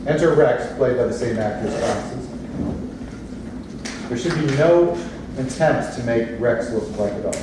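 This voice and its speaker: A distant, noisy recording in a very echoey space. A man with a mid-pitched voice narrates the stage directions of a play reading. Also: unhurried, pauses often.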